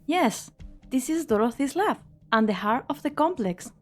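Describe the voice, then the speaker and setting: A woman's voice: high voice, animated, normal speed. A woman voicing an anxious, conscientious young doctor in a game drama fan dub, earnest and concerned. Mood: uneasy, hopeful. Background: background music.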